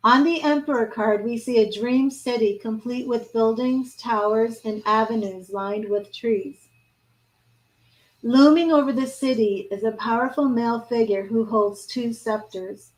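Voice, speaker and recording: Female tarot reader, hoarse and fading voice, fairly high, mixes read-aloud card text with loose chat. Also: a little slow, rough recording. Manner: reads card meanings aloud, then chats and restarts. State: hoarse, losing her voice. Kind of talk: live tarot reading, partly read aloud.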